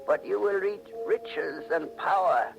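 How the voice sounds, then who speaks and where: Slow, creaky old mystic servant. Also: male voice, sits high, dull audio, heavily acted. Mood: ominous, servile. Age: older. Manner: formal, slow, cryptic speech. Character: bony old mysterious servant mystic, softly ominous and servile. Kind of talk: 1940s radio mystery acting. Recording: old 1940s radio recording with music.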